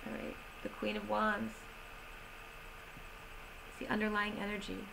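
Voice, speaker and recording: A woman giving a tarot reading in a slow, soothing manner, slightly strained as she is losing her voice. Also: mid-range voice, monotone, vocal fry, noisy background. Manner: slow, soothing reading of oracle cards with gentle pauses. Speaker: tarot reader. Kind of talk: solo tarot reading video.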